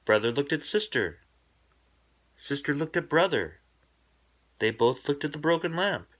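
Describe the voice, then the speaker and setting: A man reading a children's bedtime story aloud in an expressive, dramatic storybook narration style. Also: on the high side, unhurried.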